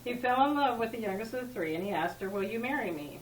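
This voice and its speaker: A woman's voice: on the high side, expressive, quick pace. A woman reading a rhyming poem aloud at a party in an informal, amateur way, stumbling now and then. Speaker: family member reading a tribute poem. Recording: echoey room, distant mic, background noise.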